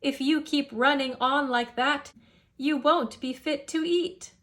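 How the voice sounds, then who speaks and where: A woman voicing an excitable, scheming household cook who exclaims in rhyme in a storybook. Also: sits high, slow pace. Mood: calling, scolding.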